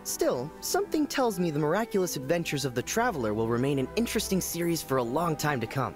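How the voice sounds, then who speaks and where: A man's voice: a little high, sing-song, quick pace. A man voicing a thoughtful ordinary townsman, a keen reader, in video game dialogue. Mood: reflective. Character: ordinary townsman, thoughtful light novel fan. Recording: music behind.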